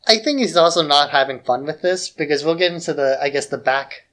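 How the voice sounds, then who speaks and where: A man's voice: on the high side, brisk, fairly bright tone. A man co-hosting a podcast, giving casual analytical film commentary in loose conversational phrases full of fillers. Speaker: podcast co-host.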